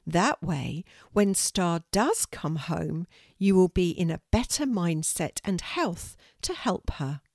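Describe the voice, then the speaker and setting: A woman voicing a kind young girl who speaks gently and soothingly. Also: fairly low pitch, lively intonation, takes it slowly, creaky, dry recording, studio quality, exaggerated voice.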